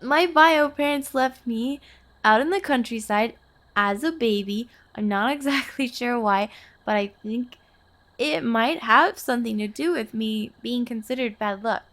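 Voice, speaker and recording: A woman's voice: a little high, measured pace, fairly bright tone. A woman playing a nervous, earnest young girlfriend in an intimate audio roleplay, hesitant and pleading in her delivery. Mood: pleading, exasperated.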